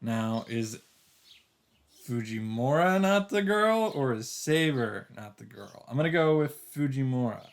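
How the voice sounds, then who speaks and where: A man's voice: medium pitch, animated, unhurried. A man hosting a let's play, reading story narration aloud expressively with occasional casual asides. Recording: music under the voice.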